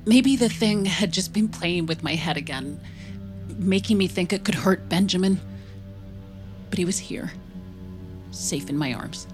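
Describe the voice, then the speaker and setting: A woman's voice: on the low side, unhurried, pauses often, over music, close to an everyday voice. A woman playing a frightened, protective mother in an audio horror drama, speaking in urgent, broken, stammering phrases. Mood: reflective narration. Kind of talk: naturalistic audio drama acting.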